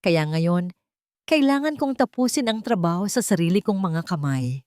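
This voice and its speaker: A woman's voice: lowish voice, expressive, normal speed, a little mellow, no echo, clean studio sound, natural voice. A woman voicing a cold, smug older male villain, a scheming uncle in a dramatic audio story. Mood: smug threat. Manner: smug, taunting, remorseless.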